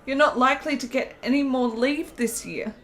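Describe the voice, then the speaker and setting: A woman's voice: high-pitched, normal speed, a bit bright. An actress playing a frail, lonely, intense sick woman in a period radio play, with earnest, emotional, formal phrasing. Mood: earnest, grateful.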